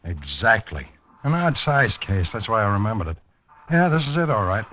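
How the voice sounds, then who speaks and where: Obliging small-factory manager, low voice, folksy and musing, faintly noisy vintage radio recording. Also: male voice, big pitch swings, moderate pace, sharp tone, muffled recording. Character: helpful, unhurried male small-factory manager checking records. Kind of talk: 1950s radio drama acting.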